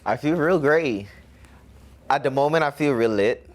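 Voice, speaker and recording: A man's voice: medium pitch, lively intonation, a little slow. A young man from the Bahamas, a musician answering interview questions in a cocky, self-promoting way.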